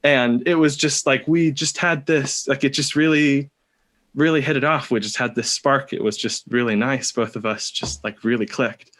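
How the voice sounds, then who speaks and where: A man with a Californian American accent, telling a personal story casually as a podcast guest, rambling and pausing often. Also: mid-range voice, steady tone, a bit bright. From California, United States. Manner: hesitant, rambling, with many pauses.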